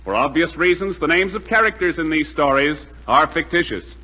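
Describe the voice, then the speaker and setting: A man's voice: on the high side, animated, normal speed. An American man narrating a scripted 1940s radio drama as announcer, solemn and declamatory. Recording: muffled 1940s radio, loud music behind.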